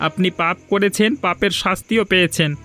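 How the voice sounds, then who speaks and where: Cartoon role: stern, righteous country doctor, played by a man, high-pitched and sermonising, over music. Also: steady tone, brisk, pushed and bright. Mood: stern, scolding. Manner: preachy, admonishing. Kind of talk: Bengali moral cartoon dubbing.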